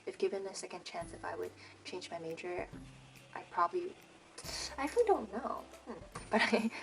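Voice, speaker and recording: A woman's voice: lowish voice, gravelly, poor audio. A woman in her twenties from Malaysia, a native Mandarin speaker with an American-leaning English accent, chatting casually in a personal vlog with many "um" fillers. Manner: chatty, frequent "um" fillers and little laughs. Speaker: vlogger.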